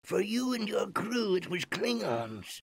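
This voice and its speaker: A man's voice: high voice, very animated, vocal fry, husky, dry recording, exaggerated voice. A man playing a solemn, courteous ancient alien in an old computer game, speaking formally and theatrically. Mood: solemn, grateful. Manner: formal, theatrical, slow and deliberate.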